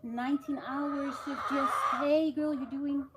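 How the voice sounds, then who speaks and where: A woman from the Netherlands speaking personally to a group, emphatic and repetitive, pausing between phrases. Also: high-pitched, steady tone. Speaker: nurse. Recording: noisy live stream, clicks, roosters.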